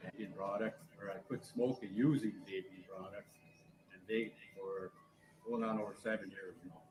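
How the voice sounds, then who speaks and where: A man in his sixties giving a short, measured testimony about vaping. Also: lowish voice, steady tone, noisy background. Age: 60s. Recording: clip with background ambience.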